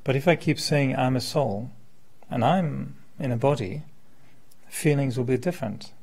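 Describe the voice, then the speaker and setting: Male speaker, fifties, mid-pitched with heavy vocal fry, informal spiritual lecture, conversational and questioning. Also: moderate pace, a little mellow. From born in France, settled in Quebec. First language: French. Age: 50s. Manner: conversational, illustrates with hypothetical examples and questions. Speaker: meditation teacher, speaker. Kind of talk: informal spiritual talk to a live group.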